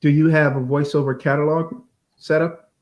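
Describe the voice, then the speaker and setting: A man's voice: on the high side, little pitch movement, moderate pace. A man hosting a podcast, putting questions to a guest in a casual way. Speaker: podcast host. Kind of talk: casual interview.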